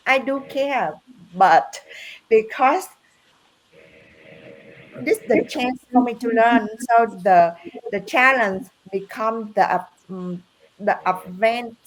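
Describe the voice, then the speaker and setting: A woman whose first language is Vietnamese tells her story on a live video call. She speaks in simple, halting English with dropped words and pauses to find them. She works as a business coach. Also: a little high, rough recording. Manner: slow and earnest, pausing to find words. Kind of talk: live online testimony.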